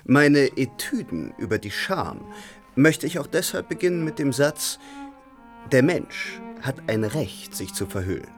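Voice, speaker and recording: Urbane stagey gent, poised, swooping intonation, philosophising with pauses over cello music. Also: male voice, medium pitch, very animated. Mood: courtly. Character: urbane theatrical gentleman host, poised, philosophising grandly. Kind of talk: radio play dialogue.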